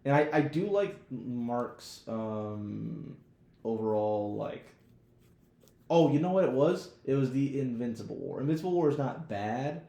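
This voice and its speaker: A man's voice: mid-range voice, expressive, unhurried, vocal fry, warm tone, roomy sound. A man co-hosting a podcast in casual chat, talking informally with slang and swearing. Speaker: podcast co-host. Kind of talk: casual chat, TV show discussion.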